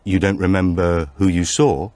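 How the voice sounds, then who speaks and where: A man's voice: sits low, fairly even intonation, normal speed, a little mellow, no room sound, close to an everyday voice. A man voicing a troubled, apologetic father, earnest and uneasy, in an audiobook thriller. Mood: uneasy, probing.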